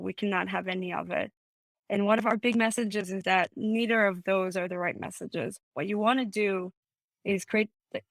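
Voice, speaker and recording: A woman's voice: mid-range voice, no room sound, poor audio. Articulate woman on a dry video call. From Israel. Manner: articulate, explanatory. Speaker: startup CEO guest. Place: home room, indoors.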